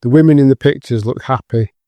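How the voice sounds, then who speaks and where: A man's voice: medium pitch, brisk, mellow, no room sound. A man reading scripted narration for an audio drama.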